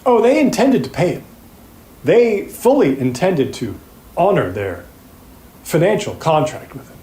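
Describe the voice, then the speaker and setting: An actor playing a methodical, pointed prosecutor delivering an opening statement to a jury in an audio drama, speaking deliberately with rhetorical pauses. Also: male voice, a little high, darkish tone. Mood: accusing, grave. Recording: roomy, echoing sound.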